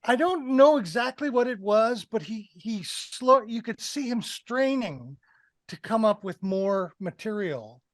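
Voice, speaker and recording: A man's voice: high voice, animated, a little slow, no room sound. A man in his sixties on a headset mic, casually analysing a film as a panelist in an online discussion. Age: 60s. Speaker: film review podcast panelist. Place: indoors, on a video call.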